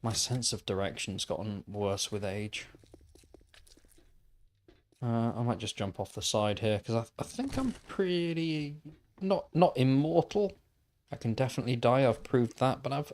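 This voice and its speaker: A man's voice: medium pitch, expressive, unhurried, pauses often, darkish tone. Man musing aloud while gaming. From Wales. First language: Welsh. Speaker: gaming livestreamer. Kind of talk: casual chat while playing.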